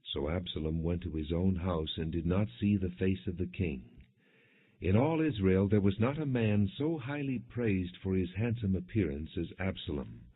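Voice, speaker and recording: A man with a very low voice reads scripture in a measured, formal way, as an audiobook narrator would. His voice is rather husky and rough-edged, and the recording is narrow and muffled, much like a phone line. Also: expressive. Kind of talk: scripted scripture narration, non-dramatized reading.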